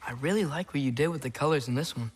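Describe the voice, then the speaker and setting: A man's voice: on the high side, quick pace, slight background noise, lightly acted. Teenage boy adventurer male actor: curious, hesitant, casual naturalistic game delivery over faint ambient sound. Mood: admiring. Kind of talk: naturalistic video game acting.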